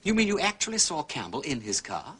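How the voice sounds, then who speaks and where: An actor playing a genial, shrewd, aristocratic English gentleman sleuth with an upper-class accent, urbane and probing, in a period detective drama. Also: on the high side, huge pitch swings, brisk, breathy edge, heavily acted. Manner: affable, urbane, probing with questions.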